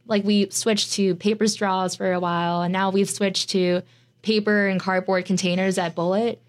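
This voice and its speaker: A woman's voice: medium pitch, flat delivery, normal speed. A young American woman, a student, answering interview questions in a chatty way with many filler words. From United States. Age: young adult. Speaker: student guest.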